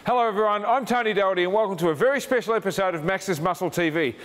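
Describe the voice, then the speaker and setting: A man's voice: high voice, very fast, fairly bright tone. A middle-aged man hosting a TV show to camera in a gym, enthusiastic and calling viewers folks. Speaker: TV show host. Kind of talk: upbeat presenting to camera. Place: indoor gym. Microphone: standing to camera, medium shot. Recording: reverberant gym with faint music.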